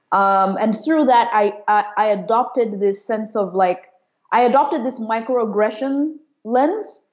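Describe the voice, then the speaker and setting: A woman in her late twenties from East and southern Africa shares personal experience as an interview guest. Her pitch is middling for a woman and her delivery is conversational and reflective. The recording sounds slightly dull and lo-fi. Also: dark tone. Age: late 20s. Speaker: podcast guest.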